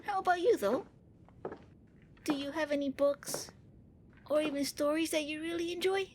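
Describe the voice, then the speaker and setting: A woman playing a gentle, bookish young vampire prince in an ASMR roleplay, speaking with hesitant, earnest courtesy. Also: sits high, normal speed, slight background noise, lightly acted. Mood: curious. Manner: hesitant, politely fussy, earnest.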